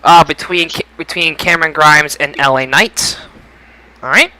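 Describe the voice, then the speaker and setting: A man with a fairly high, bright voice hosts a casual podcast results show. His tone is clean and smooth as he talks through the outcomes of matches. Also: moderate pace, no echo, poor audio. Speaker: podcast host. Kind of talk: casual sports results discussion.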